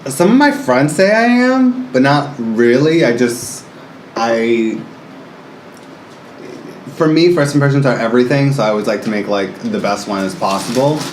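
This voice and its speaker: A man in his thirties chatting casually as a guest, animated and laughing often, with many fillers. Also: medium pitch, moderate pace, a little hiss or hum. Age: 30s. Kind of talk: casual chat. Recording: roomy indoor echo with faint ambience.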